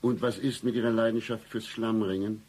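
An actor playing a composed, dryly understated, knowing man with a measured, deadpan manner in a satirical radio play. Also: fairly low pitch, close to an everyday voice.

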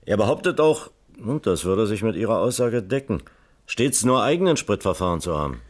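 An actor playing a calm, measured police captain in a German radio crime drama, with dry irony. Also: male voice, lowish voice, no room sound. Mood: sober, questioning. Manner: measured, dryly ironic.

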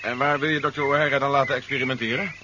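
A man's voice: mid-range voice, steady tone, rapid-fire, natural voice. An actor playing a grimly analytical man on the run, explaining emphatically in a 1970s radio drama. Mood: skeptical. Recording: muffled 1970s radio drama recording, faint noise.

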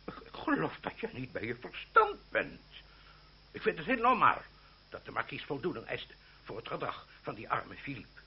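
An actor playing a hot-tempered, gruff older aristocratic uncle in a radio drama, stammering and exclaiming as he blusters. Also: medium pitch, wildly swooping pitch, normal speed. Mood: indignant, blustering. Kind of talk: Dutch radio drama acting. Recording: muffled, noisy, humming old radio recording.

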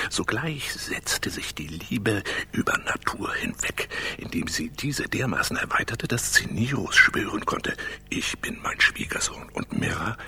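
An actor playing an amorous ancient tree spirit in a radio play, telling tales in a florid, theatrical way with a breathy, hissing whisper. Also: male voice, pushed and bright, heavily acted. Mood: sly, scandalized. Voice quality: breathy, hissing whisper over gravelly low voice. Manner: theatrical, florid, savoring each phrase. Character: amorous talking tree spirit, male, worldly ancient storyteller. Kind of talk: dramatic radio play storytelling.

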